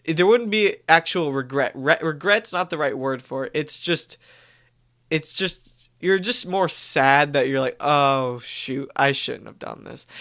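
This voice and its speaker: A man's voice: on the high side, a bit bright. A young man recovering from a cold, chatting casually and rambling on a live stream. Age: young adult. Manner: rambling, self-correcting, upbeat. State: stuffy from a cold, coughing.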